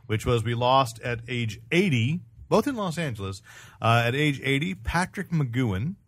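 Male podcast host, middle pitch, casual panel banter. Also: very animated, normal speed, dry recording. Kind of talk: casual panel banter about fan news.

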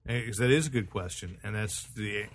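Give a man with a fairly low voice, hosting a podcast. He speaks casually, as part of a group discussion. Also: fairly quick, slight background noise. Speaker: podcast host.

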